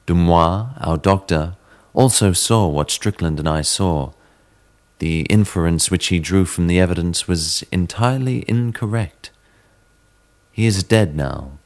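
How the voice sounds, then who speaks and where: A man giving a measured, theatrical dramatic reading of a classic horror story as narrator, in the manner of a storyteller. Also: low-pitched. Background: sitar music.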